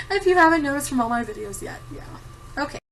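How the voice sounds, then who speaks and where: A woman's voice: high voice, expressive, a little fast, distant mic, a little hiss or hum, low-quality recording. A woman in her thirties chatting casually to camera, giggling often and rambling with lots of ums. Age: 30s. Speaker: vlogger answering a tag. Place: home room at a desktop computer. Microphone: computer camera mic.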